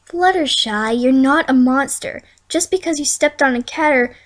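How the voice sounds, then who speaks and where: A woman's voice: high-pitched, moderate pace, lightly acted. A woman voicing a sensible but easily exasperated young female pony in a fan story, speaking plainly and earnestly. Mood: reassuring.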